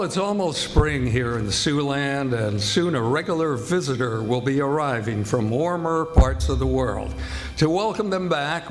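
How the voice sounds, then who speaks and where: An American man announcing a live stage show in the scripted style of 1940s radio. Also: medium pitch. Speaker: announcer and host.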